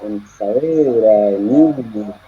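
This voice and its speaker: Man, from Chile, 30s, raspy voice, halting and self-correcting, interview guest, muffled audio. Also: on the low side, dark tone. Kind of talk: live interview. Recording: dull lo-fi audio.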